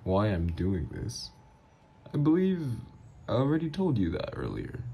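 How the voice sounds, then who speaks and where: Man playing a deep, gravelly flirty supervillain. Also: wildly swooping pitch, a little slow, mellow, exaggerated voice. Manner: teasing, calls listener babe. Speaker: roleplay voice actor. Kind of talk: ASMR roleplay audio.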